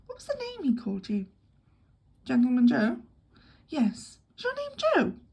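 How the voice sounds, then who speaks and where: Woman doing a skittish young lady, high-pitched, gushing, giggly, husky edge. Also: huge pitch swings, unhurried, darkish tone, full character voice. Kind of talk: audiobook character voice.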